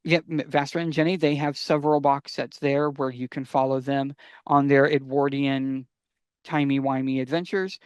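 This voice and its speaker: A man hosting a casual fan podcast, talking enthusiastically and sometimes haltingly as he gives recommendations. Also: mid-range voice, fairly even intonation, smooth, no echo. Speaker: podcast host.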